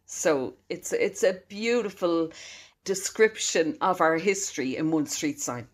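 Low-voiced Dublin woman historian. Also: a little slow. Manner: chatty, laughing often, explanatory. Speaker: local historian guest. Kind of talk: radio interview.

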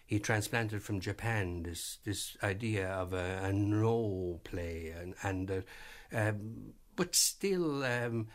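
A man's voice: fairly low pitch, lively intonation. A man, speaking hesitantly as an interview guest in a vintage radio program. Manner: halting, many fillers and restarts. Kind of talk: reflective interview talk.

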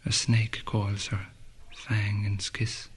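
An actor voicing a brooding young poet's murmured inner monologue, at times sinking to a whisper. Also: male voice, lowish voice, steady tone, measured pace, slightly rough. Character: brooding young male poet, inward and allusive.

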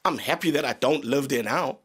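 A man's voice: medium pitch, brisk, husky. A man from South Africa with a South African accent, a comedian guest telling stories openly and laughing often. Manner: open, animated storytelling, laughs often. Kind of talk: casual radio interview. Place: radio studio.

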